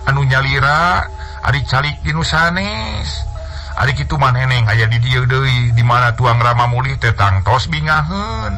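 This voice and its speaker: A male storyteller voicing a tender, tearful aunt who pleads softly with her niece. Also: mid-range voice, expressive, measured pace, gravelly, faint music behind, exaggerated voice. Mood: tearful pleading.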